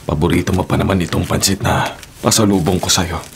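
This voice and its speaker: A man playing a tender, emotional father who hides deep hurt, in a Filipino radio drama with a Filipino accent. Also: steady tone, a little fast, gravelly, background noise. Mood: cheerful, then concerned. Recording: guitar music under the voice.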